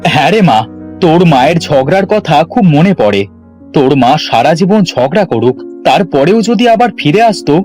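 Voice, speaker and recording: A man's voice: on the high side, normal speed, faint music behind. A man playing a village farmer, a grumbling but doting husband and father, in a dramatic cartoon style. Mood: mournful. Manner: dramatic, expressive. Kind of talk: cartoon story character acting.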